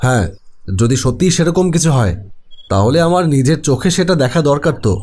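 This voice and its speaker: A man playing a practical, skeptical house owner in a cartoon horror story, speaking in a measured way. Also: fairly high pitch, lively intonation, a little mellow.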